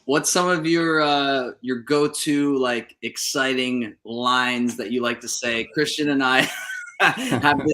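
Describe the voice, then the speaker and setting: A man's voice: medium pitch, takes it slowly, bright, forceful tone. A man hosting a casual interview over a video call, asking long, rambling questions with fillers and laughs. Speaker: podcast host. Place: indoor room.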